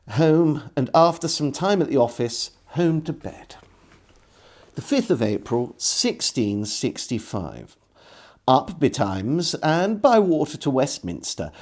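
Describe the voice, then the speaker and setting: A man reading an old diary aloud as a storyteller, in measured phrases with deliberate pauses. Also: mid-range voice, darkish tone. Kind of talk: scripted literary narration of an old diary.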